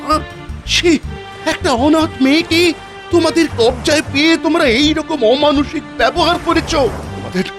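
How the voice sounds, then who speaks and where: A man's voice: very high-pitched, moderate pace. A man voicing a fair-minded young husband in a cartoon who questions and then sternly reproaches his family, with music behind him. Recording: cartoon dub over music.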